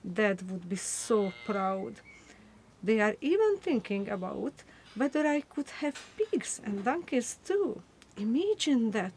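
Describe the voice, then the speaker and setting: Radio play: eager young 1940s sister, played by a woman, earnest letter monologue, highish voice, very measured pace. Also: sing-song, darkish tone, slight background noise, low-quality recording. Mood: proud, hopeful. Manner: earnest, deliberate. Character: eager, proud young wartime woman writing to her sister.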